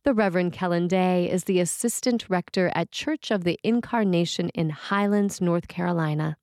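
A woman preacher reading a written sermon in a measured, reflective and earnest way. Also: fairly low pitch, darkish tone, no room sound, studio quality.

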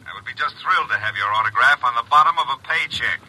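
Bright, wisecracking hard-boiled detective. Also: male voice, medium pitch, fast, husky, poor audio. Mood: teasing. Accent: American. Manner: wry, slangy, hard-boiled. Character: brash, wisecracking male private detective's legman, streetwise and cynical. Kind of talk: classic American radio detective drama acting. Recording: old radio broadcast recording.

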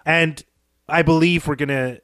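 A man's voice: on the high side, steady tone, a little slow, fairly bright tone, smooth, no room sound, studio quality. A man co-hosting a podcast, discussing a story conversationally in an analytical, explanatory way. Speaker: podcast co-host.

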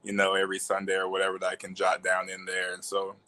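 A man's voice: sits low, completely flat delivery, fast, bright, forceful tone. A young man from Alabama chatting casually and informally as an interview guest. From Alabama, United States. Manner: informal, peppers talk with "you know". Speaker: interview guest, media professional. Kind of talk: casual chat.

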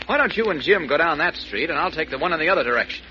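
Bright, animated radio leading man. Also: fairly high pitch, rapid-fire. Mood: brisk, decisive. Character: brisk, friendly, level-headed male leader. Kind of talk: 1940s radio serial acting. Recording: muffled old radio recording, faint noise.

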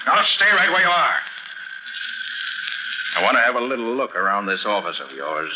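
A man's voice: medium pitch, huge pitch swings, moderate pace. Hard-boiled male detective, authoritative interrogator, vintage radio play, heavy hiss, narrow phone-like sound. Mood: tough, accusing. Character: hard-nosed, commanding male private detective. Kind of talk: 1940s radio drama acting. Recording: noisy, band-limited 1940s radio recording.

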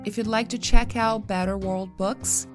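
A woman from Brazil whose first language is Portuguese, hosting a podcast and telling a personal story in a reflective, expressive way. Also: medium pitch, moderate pace, over music. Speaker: podcast host and translator. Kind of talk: personal monologue with an ad read.

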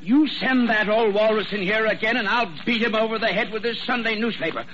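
A cantankerous, distrustful patient, acted by a man in a 1940s radio drama. His voice is high and snappish, on a dull old recording with faint hiss. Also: brisk, natural voice. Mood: irritated. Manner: snappish, belligerent. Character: cantankerous, suspicious, irritable male hospital patient.

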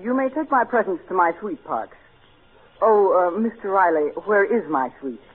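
A snobbish, world-weary rich boy with a high voice. He speaks pompously and formally, in old radio-comedy style. The sound is tinny and narrow-band. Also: animated, normal speed, husky. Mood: lordly. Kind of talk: 1940s radio comedy acting.

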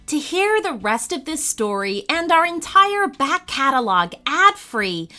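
Give me an upbeat woman host reading ads in a high, lively voice. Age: adult. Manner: upbeat, enthusiastic ad read. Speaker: podcast host. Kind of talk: promotional announcement.

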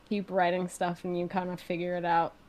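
A young woman speaks in a relaxed, everyday conversational voice, talking freely about her own music. She fills her sentences with "like" and "kinda" and lifts her voice when she replays her reactions. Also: a little fast. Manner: chatty, fillers, acts out her own reactions with rising pitch. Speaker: singer-songwriter guest. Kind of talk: casual interview chat.

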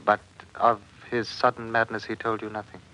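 A sly, covetous cousin from an old radio costume drama. His voice is low and sharp-edged. He speaks in ornate, old-fashioned phrases with a weary, calculating streak. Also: flat delivery, moderate pace, rough recording. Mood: smooth, sly. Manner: theatrical, archaic period speech. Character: scheming, weary male cousin plotting for an inheritance. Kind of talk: 1950s radio drama acting.

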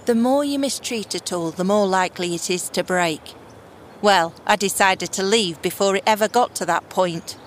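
A woman's voice: medium pitch, moderate pace, a little hiss or hum, close to an everyday voice. A woman playing a bitter, defiant runaway daughter of a monarch in a fantasy audio drama, sarcastic and blunt, with slangy complaints. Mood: scornful.

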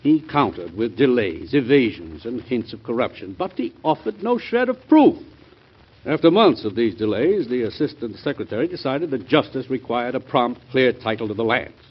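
Vintage muffled radio drama, earnest accused cabinet secretary, husky, lively pitch, formal, emphatic. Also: male voice, moderate pace. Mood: defensive, emphatic. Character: earnest cabinet secretary defending his honor under accusation. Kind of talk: 1940s radio drama acting. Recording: dull old radio drama recording.

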